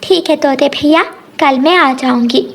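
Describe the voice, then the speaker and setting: A woman voicing a gentle, trusting mother sparrow in an animated children's story. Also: high voice, unhurried. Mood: polite. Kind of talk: animated Hindi moral story dialogue.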